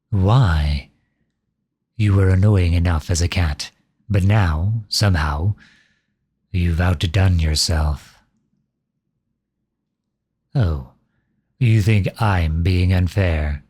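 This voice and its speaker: Man playing a deep, grumpy tsundere guy. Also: darkish tone, slightly rough, full character voice. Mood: irritated, sarcastic. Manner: sarcastic, flustered, grudging. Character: grumpy, exasperated male ASMR creator, tsundere. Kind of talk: ASMR roleplay acting.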